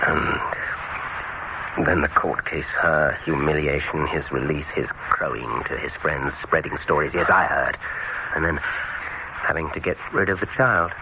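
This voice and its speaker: An actor playing a vengeful, softly menacing male dentist in a radio horror drama, savouring his cruelty in deliberate, gloating phrases with long pauses. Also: very deep voice. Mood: bitter, wounded. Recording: muffled, very noisy old radio recording.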